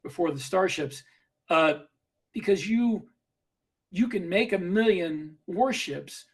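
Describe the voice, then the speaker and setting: A man's voice: sits high, expressive, slow pace, slightly rough. A man co-hosting a podcast, making his case earnestly and step by step in casual discussion. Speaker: podcast co-host.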